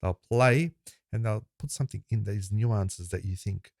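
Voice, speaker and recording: Low-voiced man hosting, rambling. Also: normal speed, vocal fry, darkish tone, no echo, clean studio sound. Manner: rambling, repeats words while thinking aloud. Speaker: podcast host. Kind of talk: podcast conversation.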